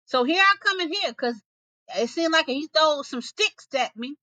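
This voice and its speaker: A woman's voice: high-pitched, animated, normal speed, bright, forceful tone, dry recording, pitched above the performer's own voice. A woman voicing a proud, bossy male lion in a folktale, declaiming in folksy dialect. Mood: aggrieved.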